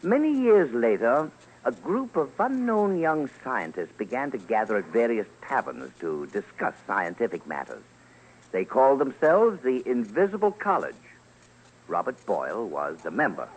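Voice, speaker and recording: A man's voice: medium pitch, wildly swooping pitch, normal speed, a little hiss or hum, rough recording. A man narrating a scripted radio documentary as commentator in a theatrical, emphatic way.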